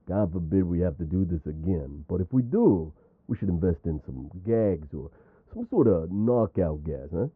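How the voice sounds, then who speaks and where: A man's voice: low-pitched, animated, moderate pace, husky, dry recording, phone-quality sound, pitched below the performer's own voice. A man playing a chatty, exasperated mafia boss in an audio roleplay, courteous but foul-mouthed, with wisecracking gangster slang. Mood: dry, wry. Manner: wisecracking gangster slang, saying "yous" and "doll". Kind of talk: ASMR roleplay acting.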